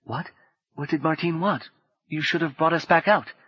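A man reading an audiobook, voicing a quick, apologetic young woman who speaks in a hushed way. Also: a little high, husky, highs cut off, voice pushed up. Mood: hushed, brisk. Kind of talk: audiobook character voice.

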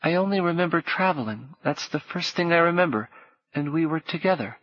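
A man voicing a high, tearful young boy. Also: expressive, dry recording, lo-fi sound. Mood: dazed. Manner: tearful, halting, soft. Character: frightened grieving young boy.

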